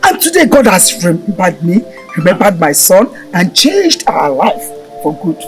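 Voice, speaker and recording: A woman's voice: lowish voice, sing-song, unhurried, soft background music. A woman with a Nigerian accent acting a grateful, devout mother in a film drama. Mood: grateful, joyful.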